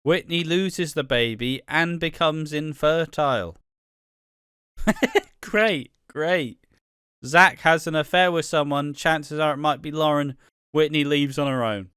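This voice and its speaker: A man from England near the Welsh border co-hosting a casual podcast, relaxed, laughing often and trailing off mid-sentence. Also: fairly high pitch, takes it slowly, clear, resonant tone, no echo, clean studio sound. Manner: laid-back, laughs often, trails off and restarts. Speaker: podcast co-host.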